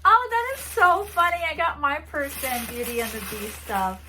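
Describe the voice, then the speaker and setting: A woman's voice: sits high, sing-song, moderate pace, sharp tone, slight background noise. A woman chatting casually to camera as she unboxes a gift box, bubbly and giggly, seated on a bouncy ball at home. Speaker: vlogger unboxing a swap box.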